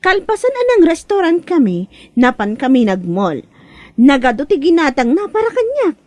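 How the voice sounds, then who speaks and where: Haughty young Ilocano woman, high-pitched. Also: wildly swooping pitch, moderate pace, a little mellow, no room sound. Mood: boastful, giggly. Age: young adult. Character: haughty, boastful young materialistic ex-girlfriend. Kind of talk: acted Ilocano comedy audio drama.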